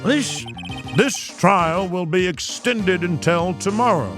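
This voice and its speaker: A man voicing a stern elderly courtroom judge from a video game, speaking in measured, formal pronouncements. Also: high voice, expressive. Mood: solemn. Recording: music playing behind the voice.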